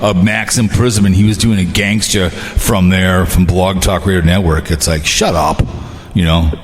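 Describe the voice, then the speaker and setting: A man's voice: low-pitched, fairly even intonation, normal speed, big-room sound, faint music behind. A man in casual group chat, hosting an online show in a rambling way, often repeating and stammering over his phrases. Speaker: online show host and comic voice performer.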